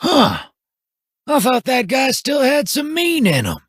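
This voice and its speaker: A cocky young scout whose husky voice swings lively between high and low. He talks casually and jokes at his own expense. The recording is close and dry. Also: slow pace, no echo, full character voice. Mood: wry. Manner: casual, joking, wry. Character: wry young human scout, easygoing and self-mocking.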